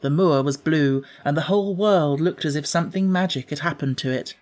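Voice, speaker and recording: A man's voice: fairly high pitch, fairly quick, darkish tone. A man reads as an audiobook narrator. His delivery is scripted storybook narration, telling a children's tale.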